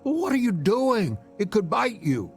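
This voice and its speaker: An anxious, excitable young boy, done by a grown man, urgent and pleading. The voice is pushed high and jumps around in pitch, over faint music. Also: unhurried, full character voice. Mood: alarmed. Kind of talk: dramatic reading of a visual novel.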